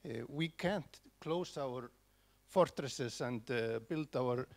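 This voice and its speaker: Halting man with a gravelly voice. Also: mid-range voice. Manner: halting, many restarts and fillers. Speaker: conference audience member. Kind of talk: spontaneous comment from the floor.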